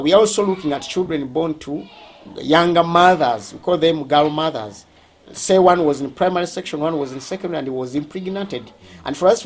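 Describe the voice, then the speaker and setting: A man with a Ugandan accent speaking as a school representative, explaining things during a tour in a halting, deliberate way, often rephrasing. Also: fairly high pitch, slight background noise.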